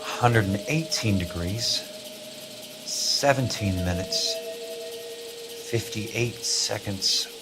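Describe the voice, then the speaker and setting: A man's voice: on the low side, drawn-out words, long pauses. An actor playing a blunt, driven male investigator and war veteran in a video game drama, terse and hard-edged. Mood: businesslike. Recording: music under the voice.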